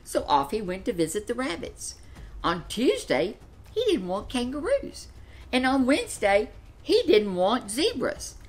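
Older woman reading a story, lively intonation. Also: on the high side, a little slow. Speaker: storyteller reading a children's picture book. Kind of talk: expressive read-aloud storytelling. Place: furnished living room, seated in an armchair.

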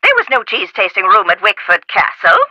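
A woman's voice: medium pitch, very animated, a little fast, pushed and bright, no echo, full character voice. An actress playing an eccentric, haughty, impatient woman scholar in a video game, theatrical and precise, heard through a telephone. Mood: airy, delighted.

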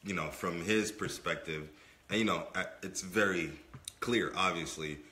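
A man's voice: deep voice, normal speed, sharp tone. A man in his twenties co-hosting a casual podcast, emphatic and repeating himself, his voice a bit under the weather. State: under the weather, voice not fully clear. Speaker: podcast co-host. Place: indoor room with brick and wood-panel wall. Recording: echoey room.

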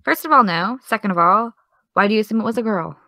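A woman's voice: medium pitch, lively intonation, fast talker, sharp tone, no echo. A young actress playing an exasperated, defensive young woman with dry comebacks in an audio drama. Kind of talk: audio drama dialogue of a video call.

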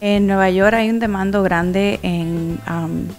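A young woman student, searching for words with hesitant "um" pauses. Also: mid-range voice, steady tone, faint music behind. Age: young adult. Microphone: handheld reporter mic.

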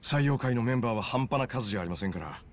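A man's voice: medium pitch, animated, very fast, background noise, natural voice. An actor playing an earnest man in his thirties in a game cutscene, explaining matters at length in a serious tone. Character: earnest male informant, thirties, loyal and troubled. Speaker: loan business owner. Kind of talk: video game cutscene dialogue. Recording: game audio with music behind. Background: music.

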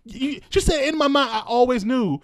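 A man's voice: extremely high pitch, moderate pace, no echo. A man bantering casually and crudely on a group podcast, repeating phrases for emphasis, close on the mic. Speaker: podcast host. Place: small room at home, around a table. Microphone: close podcast microphone.

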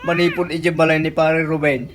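A performer playing a gossip-loving, defensive husband in an Ilocano radio comedy drama, animated and exclamatory, with an Ilocano accent. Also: mid-range voice, normal speed, heavy background noise, lightly acted. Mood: chatty.

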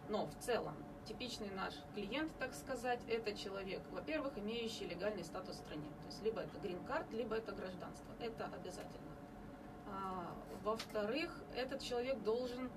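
Ukrainian woman explaining slowly, distant mic. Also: medium pitch. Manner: measured explaining with many hesitation fillers. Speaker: home care agency representative. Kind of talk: radio studio interview. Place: small radio studio. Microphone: studio mic on boom arm, captured by far camera. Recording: distant camera audio with background noise.

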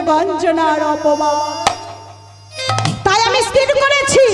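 A woman voicing a young village woman in love, pleading and reproachful in a sing-song manner, in devotional storytelling. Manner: pleading, sing-song, rising to high outbursts. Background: loud instrumental music.

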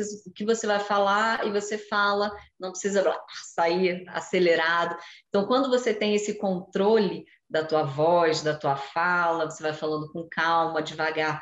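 Low-voiced woman on a video call. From Brazil. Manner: animated, explanatory, gestures while talking. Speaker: journalist guest. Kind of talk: casual video-call interview. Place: home room with shelves. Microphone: video call webcam. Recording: echoey room on video call.

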